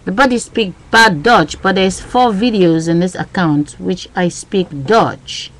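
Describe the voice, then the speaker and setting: A woman's voice: fairly low pitch, a little slow. A woman talking into her webcam in a rambling, agitated monologue, repeating herself and using broken, non-native grammar. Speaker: webcam vlogger. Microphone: built-in webcam mic.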